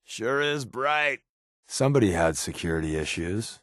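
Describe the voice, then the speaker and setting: A man voicing a wry, secretive spy companion in a video game, with casual, sardonic, deadpan quips. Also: fairly low pitch, normal speed, dry recording, studio quality. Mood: deadpan, musing. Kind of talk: game companion dialogue lines.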